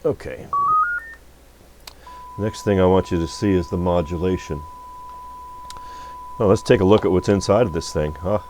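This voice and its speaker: A man giving a casual, unscripted technical demonstration at a workbench, talking in a folksy, halting, rambling way. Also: deep voice, warm tone, slight background noise, rough recording. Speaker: electronics repair technician and video host. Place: radio repair workbench.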